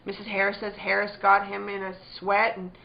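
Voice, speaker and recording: An actress playing a careworn, protective rural wife, fretful and earnest in plain country dialect, in a radio play. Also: female voice, mid-range voice, completely flat delivery, a little fast, creaky, lightly acted. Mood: anxious. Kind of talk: theatrical radio-play acting. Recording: dull, noisy lo-fi recording.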